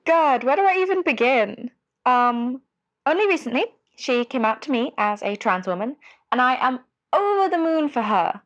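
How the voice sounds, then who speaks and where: A woman's voice: high voice, expressive, normal speed, muffled recording. A woman playing an anxious, devoted caller to a radio advice show in an audio drama, hesitant and earnest. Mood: earnest, flustered. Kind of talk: scripted audio drama phone-in.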